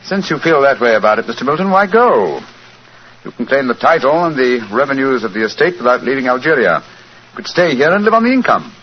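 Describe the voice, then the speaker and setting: An actor playing a shrewd, composed English gentleman detective with a British accent, speaking formally and precisely in 1940s radio style. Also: mid-range voice, very animated, a little fast, natural voice. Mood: smooth, persuasive. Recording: muffled, hissy 1940s radio recording.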